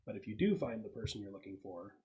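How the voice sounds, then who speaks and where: A man's voice: lowish voice, lively intonation, fast talker, darkish tone. A man explaining and narrating casually as game master of a tabletop roleplaying session. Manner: explanatory, conversational.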